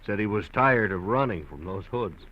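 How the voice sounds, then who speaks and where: An easygoing desk sergeant from an old radio play talks in a chatty, offhand way. His voice sits a little low, and the sound is dull and lo-fi, like a 1950s broadcast. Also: lively intonation, normal speed. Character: easygoing middle-aged male police sergeant. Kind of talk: 1950s radio drama acting.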